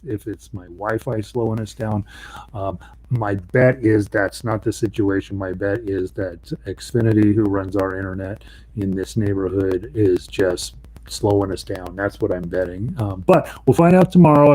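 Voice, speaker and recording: A man's voice: a little mellow, poor audio. A man teaching a live online ukulele class from home, talking casually with lots of ums and restarts into a desk microphone. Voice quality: low voice. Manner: casual, rambling, with many ums and self-corrections. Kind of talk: live online music lesson. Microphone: voice mic and separate instrument mic.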